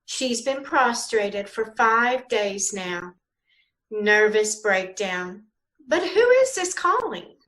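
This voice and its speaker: A woman playing a solemn, formal household woman on the telephone in a radio drama, with deliberate, drawn-out phrasing. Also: medium pitch, very animated, fairly bright tone, poor audio. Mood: grave, mournful. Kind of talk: old-time radio drama acting.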